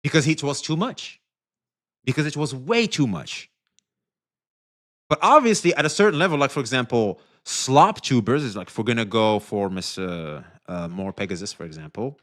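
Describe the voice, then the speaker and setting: Animated, swearing streamer man. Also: medium pitch, moderate pace. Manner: animated, profane, talking straight to chat. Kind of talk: reaction stream commentary.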